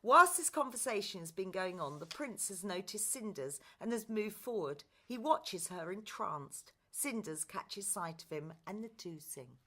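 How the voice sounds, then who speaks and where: A woman's voice: mid-range voice, animated, measured pace, few pauses, pushed and bright. A woman narrating a play script with its stage directions.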